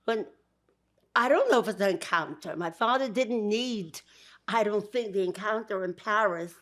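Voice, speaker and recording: An older woman from Georgia with a Brooklyn accent, recalling her family's past in an interview. She speaks warmly, often laughs, and restarts her sentences. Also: medium pitch, normal speed. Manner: warm, with frequent laughs and restarts. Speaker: guest. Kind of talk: interview, memories.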